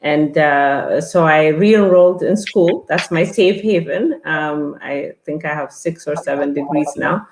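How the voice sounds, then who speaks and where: Woman, fifties, Ethiopian-born guest, deep voice, halting delivery, roomy echo. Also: slight background noise. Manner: halting, many fillers and restarts. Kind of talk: casual interview talk.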